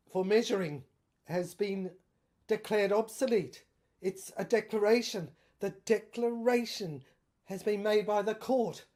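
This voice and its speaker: A woman's voice: fairly high pitch, mellow. A woman playing a defiant rebel soldier who argues insistently and repetitively in an audio drama. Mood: scornful.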